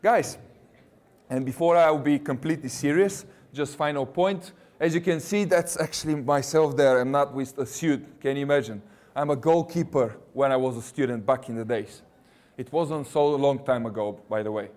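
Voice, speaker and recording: A man's voice: mid-range voice, moderate pace, darkish tone. A man in his thirties with a Russian accent, a university recruiter giving a jokey, chatty presentation to students and quizzing the audience. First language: not English.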